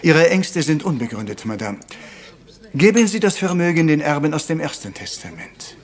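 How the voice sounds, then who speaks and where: An actor playing a proud, brooding middle-aged Belgian detective in a retro radio play, formal and courteous, with French phrases mixed in. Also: male voice, on the high side, sing-song, normal speed. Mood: firm.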